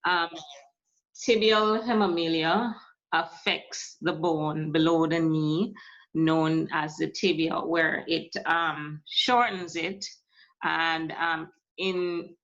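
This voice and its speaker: Jamaican-born woman guest, thirties, low-pitched, unhurried halting chat, dull lo-fi recording. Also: fairly even intonation. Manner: soft-spoken, hesitant, many fillers. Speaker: musician, guest. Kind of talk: casual interview talk. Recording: lo-fi muffled audio.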